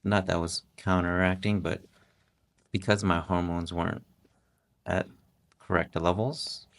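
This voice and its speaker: Deep-voiced middle-aged man, gravelly, monotone, casual podcast guest. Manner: relaxed, halting, with many restarts. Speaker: podcast guest, host's husband. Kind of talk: casual interview talk.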